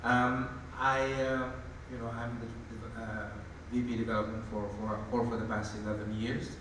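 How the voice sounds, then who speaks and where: A man introducing himself as a panellist in a relaxed, casual way with fillers. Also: mid-range voice, normal speed. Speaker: panellist, vice president of development. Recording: echoey hall, distant mic, background noise.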